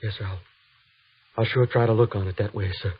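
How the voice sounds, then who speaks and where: An actor playing a humble, anxious young cavalry trooper with a hesitant, folksy, pleading manner in an old-time radio western. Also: male voice, on the low side, fairly even intonation, fairly quick, breathy edge. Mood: resigned. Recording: muffled old radio broadcast.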